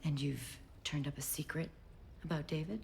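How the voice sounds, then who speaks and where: An actress playing a worldly, unruffled wife, sardonic and frank, in a period drama. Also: female voice, sits low, steady tone, normal speed, gravelly, a little mellow, slight background noise. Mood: guarded. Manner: wry, frank. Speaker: actor.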